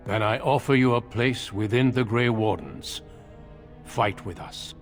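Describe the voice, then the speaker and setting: An actor playing a courteous, grave warrior recruiter in a video game, speaking in a measured, formal way. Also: male voice, medium pitch, faint music behind, natural voice. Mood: solemn, firm. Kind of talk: dramatic video game acting.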